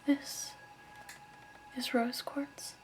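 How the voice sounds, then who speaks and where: A woman playing a gentle, soothing crystal shop keeper in a hushed, patient ASMR roleplay whisper. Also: drawn-out words. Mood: gentle, explaining.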